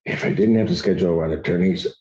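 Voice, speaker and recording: A man's voice: deep voice, racing, dark tone, muffled recording, slight background noise. A man serving as a judge, running his docket with wry, teasing banter. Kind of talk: joking courtroom banter.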